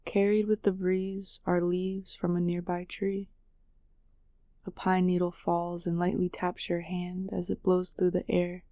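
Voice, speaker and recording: A woman narrating a guided meditation in a soothing, measured way with long pauses. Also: lowish voice, monotone, dark tone, no room sound, studio quality.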